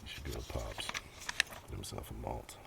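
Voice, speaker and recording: Very deep, creaky-voiced man doing outdoor ASMR. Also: steady tone, a little slow. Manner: rambling, half-murmured, drifting into whispers. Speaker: host of a collection show-and-tell. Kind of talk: casual unscripted ASMR show-and-tell. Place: outdoors in a gentle breeze. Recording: outdoor noise, light breeze. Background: breeze, paper rustling and page flipping.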